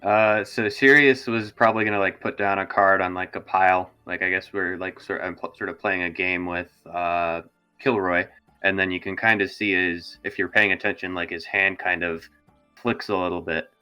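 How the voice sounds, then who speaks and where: A man's voice: sits low, steady tone, normal speed, bright, forceful tone, smooth, faint music behind. A man playing in a tabletop roleplaying game, casually and hesitantly describing his character as he feels for words.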